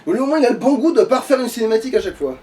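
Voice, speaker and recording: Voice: mid-range voice, very animated, racing, mellow. A gamer giving casual, sweary, grumbling commentary while playing. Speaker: let's play streamer. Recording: distant mic with game music behind. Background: video game music.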